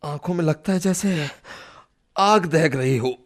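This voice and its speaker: High-voiced sick, cranky man, played by an actor. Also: unhurried, close to an everyday voice. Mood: suffering, self-pitying. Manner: exasperated, complaining, groaning, melodramatic. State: ill with fever and cough. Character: irritable bedridden sick man scolding his servant. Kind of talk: Hindi radio play acting.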